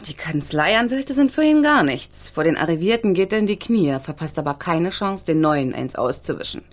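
A woman's voice: fairly low pitch, expressive, normal speed, natural voice. A woman acting a lawyer's loyal, indignant confidante in a radio crime drama, speaking with earnest emphasis. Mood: reassuring, earnest.

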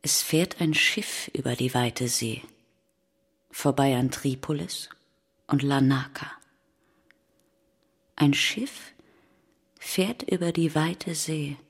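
A woman as the solemn narrator of a radio play, speaking in a measured, incantatory, poetic way. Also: extremely low pitch, breathy edge, heavily acted. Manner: measured, incantatory, repeating phrases. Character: solemn female narrator of a plague ship's voyage. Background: prominent music.

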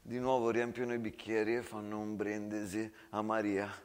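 A man giving scripted theatrical narration in a hushed, measured way. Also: fairly low pitch, monotone, long reverb tail. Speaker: stage actor and narrator.

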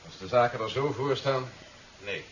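A man's voice: medium pitch, natural voice. Man acting a dry, sceptical army general. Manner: dry, measured, challenging. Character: sceptical, composed male army general, dryly defiant. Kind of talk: 1970s Dutch radio drama acting. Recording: dull, hissy 1970s radio recording.

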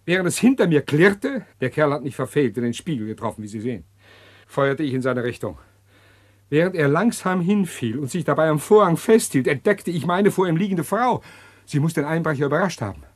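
An actor playing a scheming, self-pitying husband who recounts his crime in a German radio play, deliberate and theatrical. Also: a little high, expressive, natural voice. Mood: earnest, agitated.

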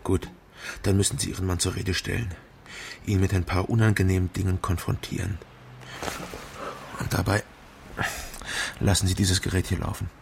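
An actor playing a dry, terse East Berlin private detective in a naturalistic radio crime drama. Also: male voice, sits low, flat delivery, moderate pace, creaky, pauses often, a little hiss or hum. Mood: calm, instructing.

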